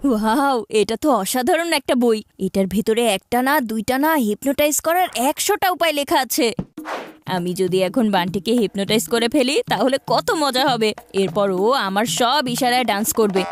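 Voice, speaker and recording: A woman as a high-pitched scheming cartoon kid. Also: expressive, moderate pace, a little hiss or hum, close to an everyday voice. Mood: scheming excitement. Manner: theatrical, exclamatory. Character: scheming, bossy child, older sibling type, gleeful prankster. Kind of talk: cartoon voice acting. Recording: music behind the voice.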